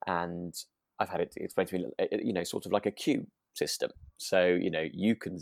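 A man co-hosting a podcast, chatting casually and feeling his way through thoughts with halting, repeated phrases. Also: sits low, steady tone, creaky, no echo, clean studio sound. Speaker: podcast co-host. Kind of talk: relaxed casual chat.

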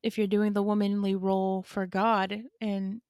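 A woman talks thoughtfully in a calm, level tone with little rise and fall. Her delivery is steady and unhurried. The sound is dry and close. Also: medium pitch, clear, resonant tone, no room sound. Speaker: podcast co-host. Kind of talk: casual faith discussion.

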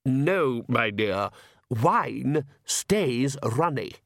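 A man voicing a cheerful, patronising aristocratic vampire father for a storybook, with smooth, deliberate, drawn-out delivery. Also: medium pitch, lively intonation, fairly bright tone, dry recording, studio quality, heavily acted. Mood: calm, coaxing.

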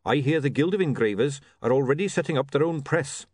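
A man voicing a blunt, glum dwarf printer who talks fast and matter-of-fact. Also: mid-range voice, lively intonation, darkish tone, slightly rough, dry recording, studio quality. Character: gruff practical dwarf printer, male.

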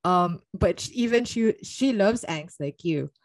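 A woman's voice: medium pitch, animated, measured pace, no room sound. A woman co-hosting a book podcast, chatting casually with blunt, emphatic opinions and a ready laugh.

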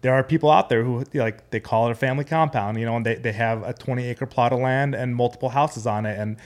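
A man's voice: mid-range voice, fast talker. American man, conversational and earnest. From United States and Jordan. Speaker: podcast guest. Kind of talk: conversational podcast discussion.

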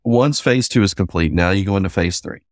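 A man's voice: low-pitched, a little fast, no room sound, studio quality. A man with a Southern US accent, a guest on an interview, explaining a process step by step in a methodical, plainspoken way with folksy asides. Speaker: guest, cybersecurity assessor.

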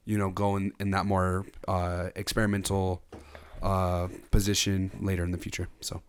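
A young man chatting casually on a music review podcast. He rambles through his thoughts with frequent restarts and fillers, in a relaxed, offhand way. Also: sits low, moderate pace, a little hiss or hum. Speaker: podcast co-host. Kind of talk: casual album review chat.

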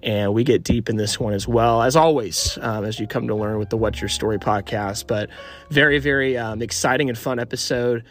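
A young man hosting a podcast, eagerly welcoming listeners and repeating excited, upbeat phrases as he introduces and questions his guest. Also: mid-range voice, brisk, soft background music. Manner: enthusiastic, repetitive hype phrases. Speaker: podcast host.

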